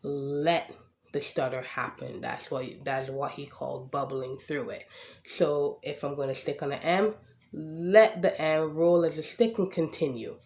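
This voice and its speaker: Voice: medium pitch, gravelly, darkish tone. A podcast host who stutters and has a slight lisp, talking casually about personal experience with frequent fillers and repeated words. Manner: speaks with a stutter, occasional word repetitions; frequent "um" and "right?". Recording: echoey room.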